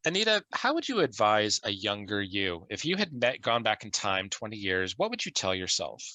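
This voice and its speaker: A man's voice: lowish voice, sing-song, normal speed, bright, forceful tone, no echo. A man hosting an interview podcast, talking in a friendly, conversational way with frequent restarts. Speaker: podcast host.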